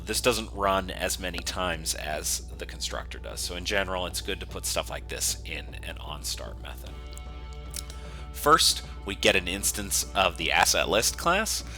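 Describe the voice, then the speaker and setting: Deep, bright-voiced man teaching code. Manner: explanatory, step by step, informal. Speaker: software instructor, developer. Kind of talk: screencast coding tutorial, semi-improvised walkthrough.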